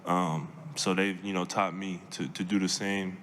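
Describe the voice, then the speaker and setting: A young man from Seattle answering reporters' questions at a press conference, measured, with many fillers and restarts. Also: low voice, level tone, a bit bright, slight background noise. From Seattle, Washington. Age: young adult. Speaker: draft pick at press conference.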